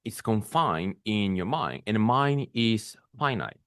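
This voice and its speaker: A man hosting a spiritual podcast, speaking in a calm, measured way and sometimes pausing to search for words. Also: lowish voice, no echo, clean studio sound. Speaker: podcast host. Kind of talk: reflective spiritual monologue.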